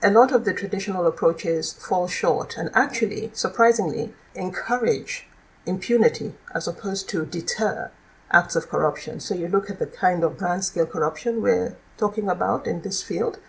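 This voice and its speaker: A middle-aged woman with a Nigerian accent, a law lecturer explaining her work in a measured, articulate way as a podcast guest. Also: fairly low pitch, vocal fry, rough recording. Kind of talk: podcast interview.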